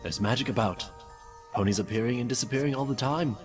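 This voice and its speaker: A man's voice: mid-range voice, quick pace, faint music behind, lightly acted. A man voicing a sinister, falsely courteous confectioner pony with a genteel, theatrical and menacing manner in an audio story. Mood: calm menace.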